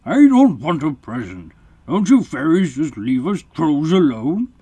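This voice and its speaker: Grumbling big troll, husky and loud, voiced by a man in a storybook reading. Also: a little high, sing-song, a little slow, voice pushed up, exaggerated voice. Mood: grumpy. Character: grumpy lonely big male troll.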